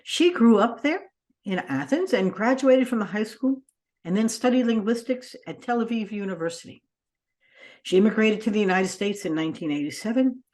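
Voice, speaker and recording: A woman's voice: medium pitch, expressive, normal speed, a little mellow. Enthusiastic woman podcast host. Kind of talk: podcast interview intro.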